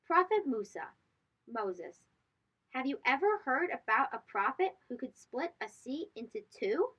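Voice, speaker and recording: A woman's voice: sits high, very animated, a little slow, fairly bright tone, breathy edge, highs cut off. A woman reading a children's storybook aloud, expressively and speaking directly to young listeners. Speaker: host of children's read-aloud show.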